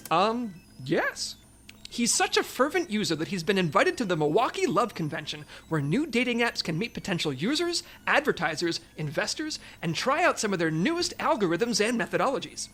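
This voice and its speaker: Businesslike female section chief, mid pitch, animated intonation, authoritative, diplomatic, comedy audio drama. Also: moderate pace. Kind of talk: comedy audio drama acting, mission briefing.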